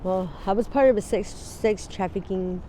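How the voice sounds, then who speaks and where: A woman in her forties from Wyoming, telling her story in a halting, rambling way during an outdoor street interview. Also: medium pitch, background noise. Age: 40s. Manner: rambling, halting, laughs easily.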